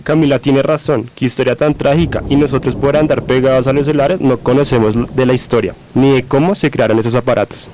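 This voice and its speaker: A man's voice: medium pitch, steady tone, quick pace, hardly any pauses, darkish tone, close to an everyday voice. A man playing an earnest young grandson in an amateur radio drama. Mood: regretful. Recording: noisy amateur recording.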